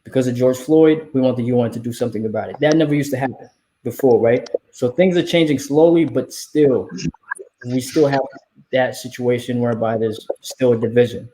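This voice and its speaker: Mellow, dark-voiced man in conversation. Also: medium pitch, brisk, slight background noise, rough recording. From Somalia. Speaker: panel guest. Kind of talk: casual panel discussion.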